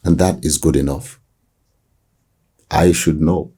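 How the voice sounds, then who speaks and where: A man who works as a voice-over artist with a deep voice, answering interview questions in a reflective way, often restarting his sentences. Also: steady tone, dark tone, husky. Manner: reflective, hesitant restarts, slow emphasis.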